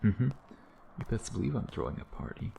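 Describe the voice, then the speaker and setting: A man playing a sardonic, teasing vampire in an intimate ASMR roleplay, with a low, gravelly voice and an unhurried, predatory manner. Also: big pitch swings, dark tone, a little hiss or hum, full character voice. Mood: grudging, boastful. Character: teasing, sardonic male vampire, a century old, youthful predator.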